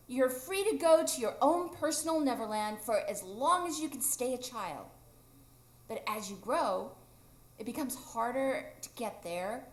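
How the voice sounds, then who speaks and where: A playful, stubborn boy who never grows up, played by a woman, high-pitched and swooping. It is live radio drama acting, heard in a roomy stage recording. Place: improv theatre stage, live audience.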